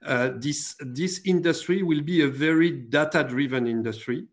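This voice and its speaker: A man with a fairly high-pitched voice speaks as an industry panelist in a discussion. He answers off the cuff, haltingly, repeating words as he builds each point.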